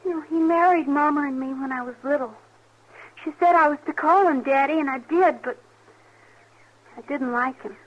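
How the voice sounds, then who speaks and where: A small, lonely frontier girl, voiced by a woman, high-pitched and childlike. She speaks with odd, simple candor in old radio western style, on a muffled vintage recording. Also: normal speed, pauses often, natural voice. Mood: flatly candid. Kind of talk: old-time radio drama acting.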